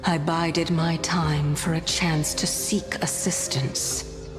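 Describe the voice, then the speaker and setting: Slow, mysterious titan woman. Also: low-pitched, flat delivery. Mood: composed, knowing. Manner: measured, formal, theatrical. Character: mysterious woman titan in disguise, gentle, wistful and knowing. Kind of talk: scripted video game voice acting. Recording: long reverb, music behind. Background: game music.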